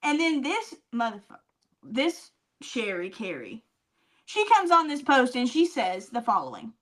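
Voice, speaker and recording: A woman's voice: on the high side, big pitch swings, measured pace, a bit bright. A woman co-hosting a podcast in casual comedic chat, telling stories emphatically, with swearing and repeated phrases for effect. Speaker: podcast co-host.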